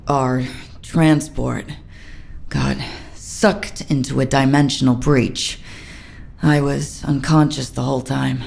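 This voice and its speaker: An actress voicing a bitter, guilt-ridden military captain in a video game, with a low, gravelly voice. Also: female voice, fairly even intonation, slow pace, darkish tone, heavily acted. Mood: bitter, haunted. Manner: bitter, self-reproaching. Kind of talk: dramatic video game cutscene acting.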